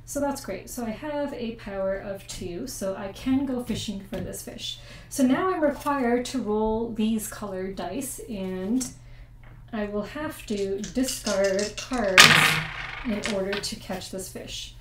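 A young woman talks through a card game at her table at home, at an ordinary pitch, explaining each step and stopping to check rules. The sound is roomy and a little distant from the mic, with a faint hum behind her. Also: slow. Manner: chatty and explanatory, often second-guessing the rules. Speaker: board game channel host. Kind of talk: casual board game playthrough. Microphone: desk USB mic with room echo. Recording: echoey room, mic set far off.

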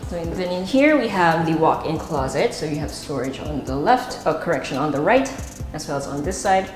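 A woman's voice: low-pitched, animated, brisk. A woman with a Filipino accent hosting a casual, chatty house tour as she walks through empty rooms. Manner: chatty, unscripted, switches into Tagalog asides. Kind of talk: casual guided property tour, presenting while walking. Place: empty rooms and stairwell indoors. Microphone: wireless body mic, pack at waist. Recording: echoey bare rooms, music underneath. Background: music.

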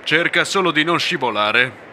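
Bossy bear animatronic running the stage and ordering the others around, played by a man in a fan-dubbed cartoon. His lively voice rises and falls a lot, and heavy background noise sits under it. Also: a little high, moderate pace, lightly acted. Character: bossy male bear animatronic, show leader.

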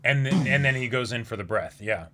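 A man's voice: mid-range voice, lively intonation, fast, sharp tone. A young man co-hosting casual reaction commentary on a manga in a small indoor room. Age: young adult. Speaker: co-host.

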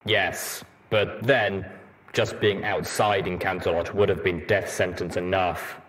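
A man voicing a blunt, world-weary armored pony soldier in a measured, matter-of-fact way for a storybook reading. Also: low-pitched, fairly even intonation, a bit bright. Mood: grave, matter-of-fact.

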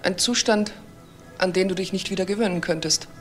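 A woman's voice: mid-range voice, brisk, echoey room, faint music behind, close to an everyday voice. A woman playing a suspicious, hurt wife and boss in a crime drama, controlled and bitter. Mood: bitter, pleading. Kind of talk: dramatic dialogue acting.

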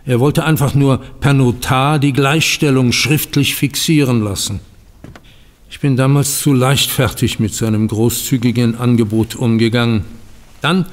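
Audio drama role: dignified, embittered older male banker played by a man, formal and cutting, medium pitch, long reverb. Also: lightly acted. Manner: formal, measured, biting sarcasm. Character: bitter, dignified older bank co-founder facing ruin. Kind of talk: German audio drama acting.

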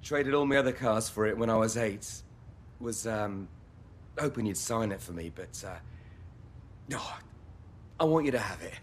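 A man's voice: lowish voice, measured pace, pauses often, a little hiss or hum, natural voice. Chummy middle-aged sports agent, acted by a man. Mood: sheepish. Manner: matey, salesman-like. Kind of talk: acted video game dialogue.

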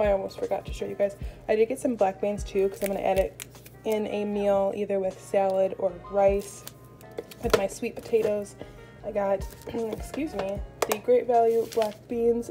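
A young American woman talking casually and chattily to viewers as she narrates a vlog. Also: mid-range voice. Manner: chatty, informal. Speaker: vlogger. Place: indoors in a home kitchen. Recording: music playing behind the voice. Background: music.

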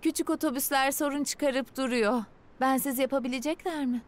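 A woman playing a caring, harried young female mechanic in a children's cartoon dub, with an expressive motherly manner. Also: sits high, normal speed, slight background noise, close to an everyday voice. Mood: worried.

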